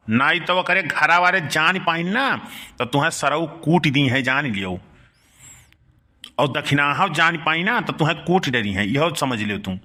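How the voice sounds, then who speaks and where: A man's voice: a little high, bright, forceful tone. A man playing a middle-aged village uncle in a comic cartoon, grumbling and rustic, with an Awadhi accent. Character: scolding village uncle, easily blackmailed, henpecked and wheedling.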